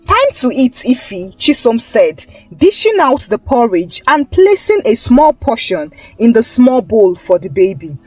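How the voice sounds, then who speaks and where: A woman's voice: fairly high pitch, big pitch swings, unhurried, hardly any pauses, warm tone, soft background music. A woman storyteller reading a scripted folktale narration.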